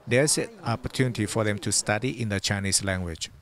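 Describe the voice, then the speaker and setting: A man giving a calm, plain voice-over translation of interview answers. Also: on the low side, a little fast.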